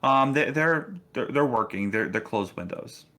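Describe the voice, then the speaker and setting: A man acting as game master, casually improvising narration for a tabletop game in halting, restarted phrases. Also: medium pitch, lively intonation, pushed and bright, soft background music.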